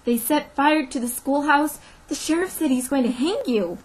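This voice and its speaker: A woman's voice: fairly high pitch, fairly bright tone, a little hiss or hum, low-quality recording. A woman voicing an earnest, frightened female schoolteacher, urgent and defiant, in a storybook reading. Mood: frightened urgency. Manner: breathless, slow emphatic pleading.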